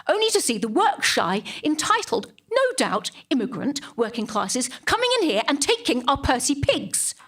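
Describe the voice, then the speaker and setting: A middle-aged, middle-class English churchgoing woman in a comic stage monologue. Her voice sits high and swings dramatically up and down. She confides in the listener with snobbish, self-righteous indignation and heavy emphasis. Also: a bit bright.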